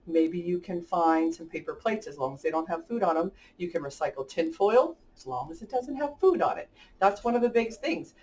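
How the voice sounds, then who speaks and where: Enthusiastic woman hosting children's story time, distant mic. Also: on the low side. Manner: enthusiastic, addressing children directly. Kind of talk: picture-book read-aloud and chat for children.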